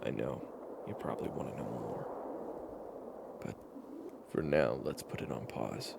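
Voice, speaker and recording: A man narrating a dramatic roleplay story in a hushed, confiding way. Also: rumbling low, measured pace, vocal fry, very noisy.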